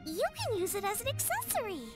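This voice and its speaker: Cute, mischievous young girl for a game: very high, bouncy melody, over music. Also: wildly swooping pitch, a little fast, heavily acted. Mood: proud, excited. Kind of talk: video game voice acting.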